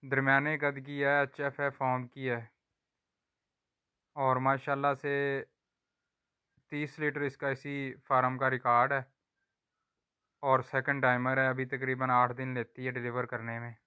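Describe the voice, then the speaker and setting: A man walks viewers through cattle for sale on a farm, talking in plain, unhurried sales patter and filming on a handheld phone outdoors. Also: mid-range voice, monotone, sharp tone, smooth. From Pakistan. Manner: repeats a stock religious phrase often. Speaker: livestock seller vlogger. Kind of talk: casual sales walkthrough.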